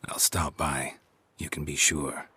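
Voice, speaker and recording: An actor playing a gruff, laconic, world-weary male monster hunter in a video game, terse and deadpan. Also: extremely low pitch, unhurried, heavily acted. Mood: reserved, dry. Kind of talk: video game dialogue acting.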